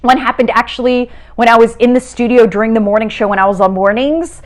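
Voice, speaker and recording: A woman's voice: on the high side, steady tone, fast talker. A middle-aged woman from South America, a TV meteorologist giving a casual science lesson from home, chatty and upbeat with frequent filler "uh"s and restarts. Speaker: TV meteorologist teaching a kids' lesson.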